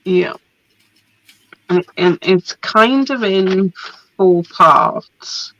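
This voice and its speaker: A woman, hoarse from a cold, presenting craft papers in a chatty, relaxed way. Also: mid-range voice, drawn-out words, no echo. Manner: chatty, relaxed, laughs often. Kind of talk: casual product show-and-tell. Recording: dry, dull lo-fi sound.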